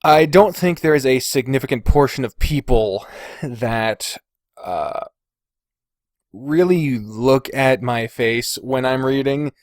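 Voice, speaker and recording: A man's voice: medium pitch, takes it slowly, no echo, clean studio sound. A man chatting casually as a solo podcast host, self-deprecating and sweary, wandering off on digressions, recorded indoors on a USB microphone. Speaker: podcast host and video creator. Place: indoors in a bedroom. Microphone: Blue Snowball omnidirectional USB microphone.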